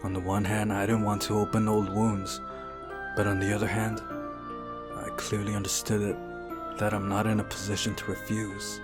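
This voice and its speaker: Male game narrator, low register, unhurried soft dramatic delivery over a prominent music bed. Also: monotone. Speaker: host of a game playthrough channel. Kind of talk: reading visual novel narration aloud, with brief commentary. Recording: music behind the voice.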